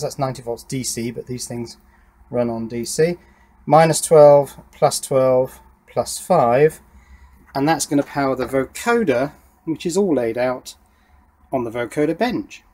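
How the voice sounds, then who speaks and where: A man's voice: mid-range voice, darkish tone. A middle-aged man narrating hands-on electronics work casually, thinking aloud as he goes. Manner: informal, thinking aloud while working. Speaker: electronics hobbyist presenting his workshop project. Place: small wooden garden shed workshop.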